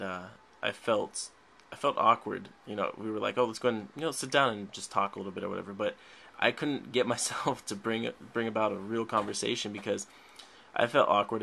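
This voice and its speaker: Young male gamer host, gravelly low voice, bright tone, rambly chat, faint hiss. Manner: rambling, chatty, frequent laughs. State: a little sick. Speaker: gaming channel host. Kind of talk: casual let's play commentary. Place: indoors at a desk, filming a tablet.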